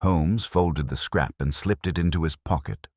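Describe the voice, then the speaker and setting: Very deep male storyteller, dry close sound. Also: darkish tone, no room sound. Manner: formal, measured, literary storytelling. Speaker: narrator. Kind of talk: scripted audiobook narration of a classic detective story.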